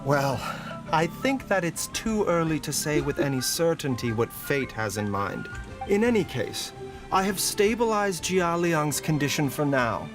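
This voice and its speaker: A calm, scholarly young doctor from a video game. His voice sits a little high and he explains things in a measured, courteous, formal way. Soft music plays behind him. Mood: grave.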